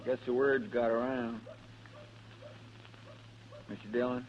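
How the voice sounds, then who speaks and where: A man's voice: medium pitch, little pitch movement, slow, lightly acted. A man acting an anxious, folksy American deputy sidekick in an old Western radio drama, deferential and hesitant. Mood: apprehensive. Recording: dull, noisy old radio recording.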